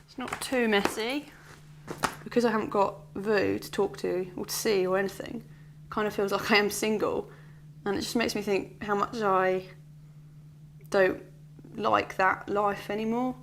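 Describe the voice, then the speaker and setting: Soft-spoken young woman, reflective. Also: mid-range voice, fairly even intonation, normal speed, slight background noise. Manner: soft, reflective and affectionate, with small laughs. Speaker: bride-to-be. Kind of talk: reflective documentary talk to camera.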